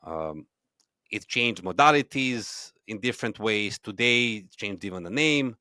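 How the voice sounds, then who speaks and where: A man's voice: fairly low pitch, lively intonation, measured pace, bright, forceful tone, dry recording, clean studio sound. A man in his thirties from Serbia, a native Serbian speaker, telling stories as a podcast guest, with frequent restarts and fillers. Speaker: guest, movement founder. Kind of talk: conversational podcast interview.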